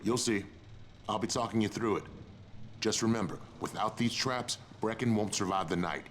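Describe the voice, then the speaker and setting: Actor as low-voiced, gruff survivor quartermaster. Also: male voice, moderate pace, slight background noise, close to an everyday voice. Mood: serious, instructive. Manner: curt, sardonic, instructive. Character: gruff, no-nonsense male survivor handing out jobs. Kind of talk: video game dialogue acting.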